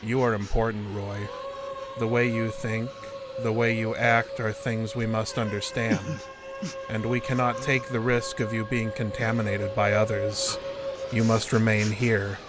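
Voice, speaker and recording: A deep-voiced, implacable alien interrogator acted by a man speaks with clinical detachment, measuring out each statement without emotion. It is audio drama, with loud music running behind the voice. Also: flat delivery, unhurried, lightly acted. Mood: calm, implacable.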